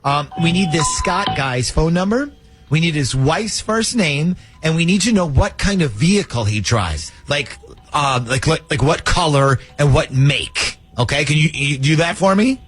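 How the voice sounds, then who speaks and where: A man hosting a radio call-in show in a studio, his voice slightly husky and a little higher than most. He talks in a lively, joking, conspiratorial way, and his pitch moves a lot. A faint music bed plays underneath. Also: normal speed. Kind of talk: morning radio call-in segment.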